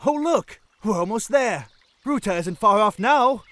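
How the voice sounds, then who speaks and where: A man voicing a proud, cheerful young fish-folk prince in a fan audio drama, with a high, eager storytelling voice. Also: lively intonation, measured pace, sharp tone, heavily acted. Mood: evasive, cheery.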